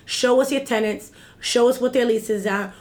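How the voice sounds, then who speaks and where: A woman's voice: a little high, moderate pace, darkish tone. A woman, talking as a guest in a casual interview, emphatic and repeating herself, checking in with "right?". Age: 30s. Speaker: guest, executive director of an activist group.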